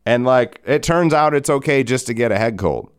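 A man in his forties hosting a solo podcast, hoarse from a head cold, rambling and cursing with lots of restarts. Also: medium pitch, lively intonation, moderate pace. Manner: rambling, profane, self-mocking, frequent restarts. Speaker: podcast host. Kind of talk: solo casual podcast talk.

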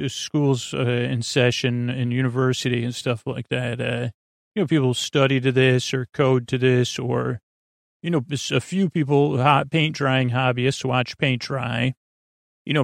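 Creaky-voiced man rambling through a bedtime podcast. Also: dry recording, studio quality. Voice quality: creaky, gravelly. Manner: meandering, halting, stammering with tangents and self-corrections; reassuring, low-pressure. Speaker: podcast host. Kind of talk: rambling scripted-loose bedtime podcast monologue.

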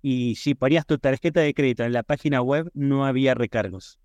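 Smooth-voiced Argentine man, explaining. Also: medium pitch, fairly quick, a little mellow, no echo. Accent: Argentine Spanish. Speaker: podcast guest, e-commerce professional. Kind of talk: conversational interview answers.

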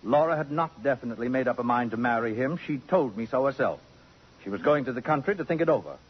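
A vain society wit, pompous and waspish, placing each phrase precisely. The voice is a little husky, on a dull old radio drama recording. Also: male voice, medium pitch, animated, fast talker. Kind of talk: 1950s radio drama acting.

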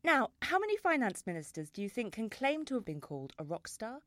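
A woman hosting a podcast, reading a measured, scripted introduction. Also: fairly high pitch, big pitch swings, fairly bright tone, dry recording, clean studio sound. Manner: clear, measured, read from a script. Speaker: podcast host.

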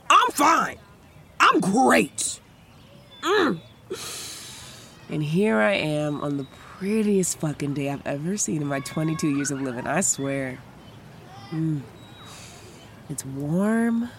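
A woman's voice: lowish voice, a little hiss or hum. A woman in her twenties playing a cheerful, brash, newly sober young woman in an audio drama, profane and rambling. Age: 20s.

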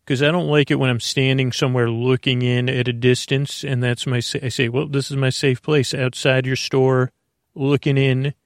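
A man hosting a sleep podcast, talking in a slow, meandering bedtime monologue with a creaky, dulcet voice and many self-interruptions. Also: medium pitch, dry recording, clean studio sound.

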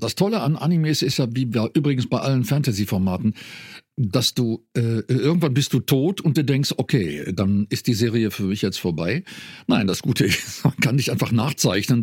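A man's voice: medium pitch, a little mellow, slightly rough, dry recording, studio quality. A man in his fifties talking casually as an interview guest, telling anecdotes in a rambling, self-interrupting way. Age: 50s.

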